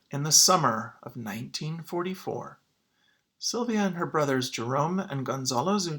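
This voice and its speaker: A man's voice: medium pitch, bright, forceful tone. A man speaking as a teacher to his students, in an informal read-aloud lesson style.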